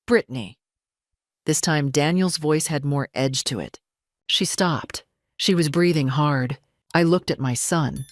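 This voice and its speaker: A woman reading scripted first-person dramatic narration in a measured, composed, deliberate manner. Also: sits low, no echo, clean studio sound. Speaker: story narrator.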